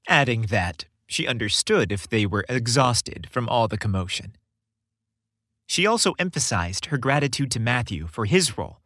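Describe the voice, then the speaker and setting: Animated male storyteller in a dry studio. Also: mid-range voice, no echo. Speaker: podcast host and storyteller. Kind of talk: scripted storytelling narration.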